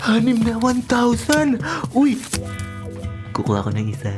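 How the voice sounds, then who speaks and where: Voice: medium pitch, huge pitch swings, unhurried. An actor in a Filipino radio drama playing a sly, gleeful, scheming child who giggles and stammers when cornered. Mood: sneaky, excited. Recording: music bed behind the voice.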